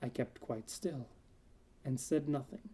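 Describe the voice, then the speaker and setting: A man playing a gleeful, nervous madman in a dramatic Gothic horror reading, with theatrical, deliberate delivery that swings between emphatic and hushed. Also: mid-range voice, slightly rough. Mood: hushed, brooding. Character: gleeful, nervous madman recounting his murderous stalking of an old man.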